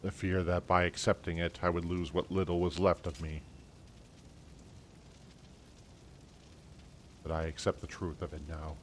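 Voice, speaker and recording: A man voicing a brooding young warrior in an amateur game dub, reading slowly and solemnly. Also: sits low, little pitch movement, slight background noise, natural voice. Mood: grave. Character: brooding young male warrior, guilt-ridden and grave.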